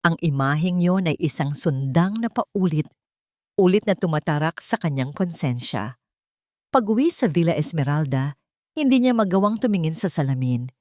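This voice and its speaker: Low-voiced woman narrating a dramatic story. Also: animated, moderate pace, darkish tone, no room sound, clean studio sound. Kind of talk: scripted dramatic narration of a Tagalog love story.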